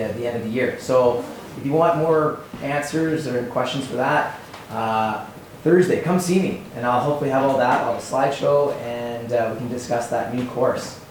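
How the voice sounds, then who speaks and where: A slightly hoarse man, a PE teacher and coach, informally presenting course options to a school assembly. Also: medium pitch, normal speed, a little mellow. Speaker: PE department head, teacher and coach. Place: large echoey school gathering space. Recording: echoey hall, distant, faint background noise.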